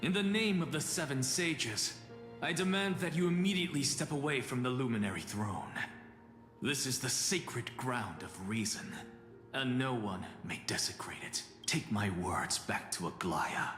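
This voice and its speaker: A man's voice: mid-range voice, expressive, moderate pace, a bit bright, lightly acted. A man voicing a proud, sardonic scholar sage in a video game, speaking in a pompous, theatrical manner. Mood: haughty, stern. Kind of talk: dramatic video game voice acting. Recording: echoey hall-like reverb with music.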